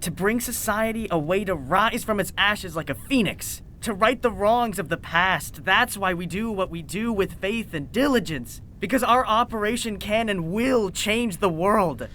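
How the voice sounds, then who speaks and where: A woman's voice: mid-range voice, animated, moderate pace, few pauses, fairly bright tone, a little hiss or hum, close to an everyday voice. A woman playing an evasive, self-important scientist with a dry, haughty manner in a fiction podcast. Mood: lofty, evasive. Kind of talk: audio fiction podcast acting.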